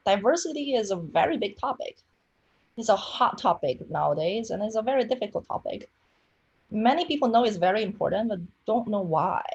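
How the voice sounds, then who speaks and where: A woman in her thirties moderating a webinar panel, friendly, with simple phrasing and brisk introductions. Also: medium pitch, breathy edge, highs cut off. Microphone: video call.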